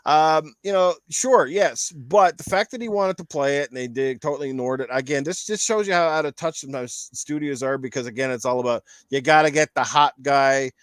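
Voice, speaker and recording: A man chatting casually as host of a panel show on games and movies, animated and opinionated, with some swearing. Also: on the high side, fairly quick, few pauses, clean tone, no echo.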